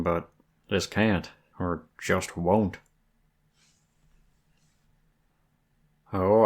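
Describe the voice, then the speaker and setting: A man voicing a flirty, friendly werewolf guide in an ASMR roleplay, with a folksy, teasing Southern US drawl. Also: fairly low pitch. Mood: calm, ominous. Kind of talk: ASMR roleplay, intimate one-sided dialogue.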